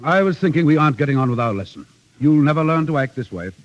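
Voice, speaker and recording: Exacting drama tutor played by a male actor: slightly high voice, precise diction, old radio-era drama, dull sound. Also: animated, quick pace, close to an everyday voice. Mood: brisk, evasive. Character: devoted, exacting male voice and acting teacher. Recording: dull, muffled vintage recording.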